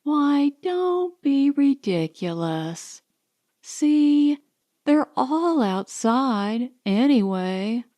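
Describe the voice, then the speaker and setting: Woman playing a high-pitched, gushing, haughty young socialite. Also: big pitch swings, very slow, warm tone, dry recording, exaggerated voice. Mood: coaxing, haughty. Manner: gushing, affected, condescending. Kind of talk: audiobook character dialogue.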